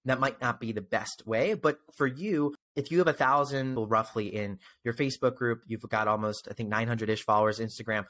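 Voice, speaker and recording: A man in his twenties with a medium-pitched voice talks as a podcast host. He is conversational and earnest, with an encouraging way of putting things, speaking close to a desk mic in a room at home. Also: fairly quick, few pauses, a bit bright. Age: 20s. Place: small room at home, indoors. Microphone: close desk microphone.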